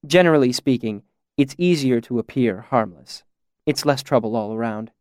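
A man's voice: medium pitch, normal speed, darkish tone, smooth, no echo, clean studio sound, lightly acted. A man voicing a poised young woman who teases in a wry, matter-of-fact way.

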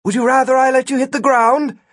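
A man voicing an exasperated, sarcastic adventurer woman in a storybook, with brisk retorts. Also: sits high, slightly rough, no echo, clean studio sound, voice pushed up. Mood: defensive.

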